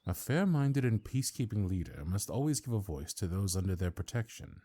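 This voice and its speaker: Man acting as ancient lordly councilor, low mellow voice, swooping intonation, formal, pompous, slyly courteous, fantasy audio drama. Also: sing-song, brisk. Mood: measured, imperious. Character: ancient, stately male high councilor, suavely condescending.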